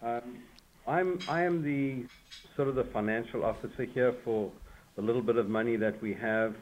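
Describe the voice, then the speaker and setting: A man's voice: fairly low pitch, a little mellow, poor audio. A man who is a guest on a radio interview, speaking modestly and tentatively, a little away from the mic. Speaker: choir financial officer, guest.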